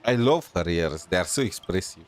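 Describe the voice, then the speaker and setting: A man's voice: low voice, animated, normal speed, a little hiss or hum. A man giving casual, rambling game commentary as a streamer, slangy and opinionated. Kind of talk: casual reaction commentary over gameplay.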